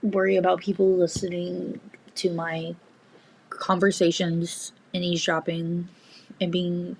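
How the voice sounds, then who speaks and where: A woman's voice: on the low side, level tone, a little slow, gravelly, slight background noise, poor audio. A young woman chatting as a guest on a video call, shy and nervous, hesitating with many fillers. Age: young adult. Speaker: chat guest. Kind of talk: casual video chat.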